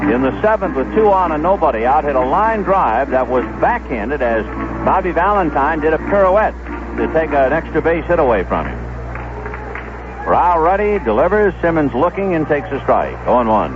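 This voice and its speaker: A man doing 1970s radio baseball play-by-play, measured and descriptive, telling small stories between pitches. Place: stadium broadcast booth. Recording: dull lo-fi vintage AM radio broadcast.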